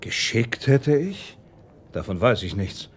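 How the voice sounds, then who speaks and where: An actor playing a proud, courteous prince, formal and easily provoked, with a husky voice in a German radio drama. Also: male voice, mid-range voice, sing-song, slow pace, full character voice. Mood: puzzled, reflective. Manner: formal, dignified, indignant when crossed.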